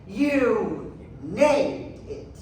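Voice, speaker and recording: A woman's voice: fairly high pitch, sing-song, very slow, a little mellow, exaggerated voice. A woman acting a stern, zealous judge at a Puritan witch trial in a pompous, declamatory stage manner. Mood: stern questioning. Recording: echoey hall from far off, faint noise.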